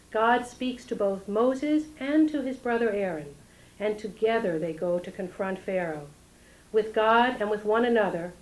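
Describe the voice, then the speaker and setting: A woman giving a formal prepared welcome address at a synagogue event, measured and solemn. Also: a little high, a little mellow, rough recording. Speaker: rabbinic intern giving a welcome. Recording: old analog tape.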